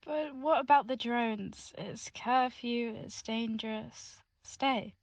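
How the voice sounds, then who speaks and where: Anxious young woman in love, played by an actress, higher British voice, pleading, close dry audio drama sound. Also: slow, dry recording. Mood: worried, sleepy. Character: young woman lover, anxious, pleading, secretive about her relationship. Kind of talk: intimate audio drama acting.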